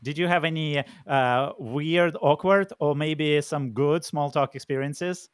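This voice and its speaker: A man co-hosts in a casual, chatty way. His voice is slightly high and recorded dry, with little room around it. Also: measured pace, smooth, dry recording. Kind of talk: casual chat.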